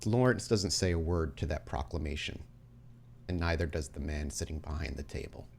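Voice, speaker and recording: Low-voiced man narrating as game master. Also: normal speed, creaky, a little mellow, a little hiss or hum. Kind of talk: narrating a tabletop roleplaying game.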